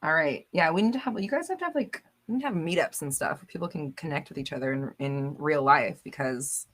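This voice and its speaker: A woman's voice: low-pitched, expressive, brisk, fairly bright tone. A woman hosting a casual live stream, reading viewer comments aloud with a bubbly, laughing manner. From United States. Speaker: livestream host.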